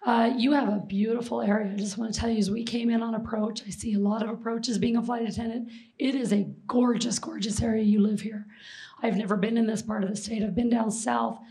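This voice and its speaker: Hoarse woman presenting on an auditorium stage. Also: a little high, fairly quick, rough recording. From Seattle, Washington. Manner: lively, jokes with the audience, asks questions. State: hoarse, losing her voice. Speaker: presenter and flight attendant. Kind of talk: public history presentation to an audience. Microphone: handheld mic.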